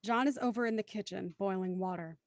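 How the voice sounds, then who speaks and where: A woman's voice: mid-range voice, fairly quick, dry recording, studio quality. A woman reading scripted, present-tense narration for an audio drama as its narrator.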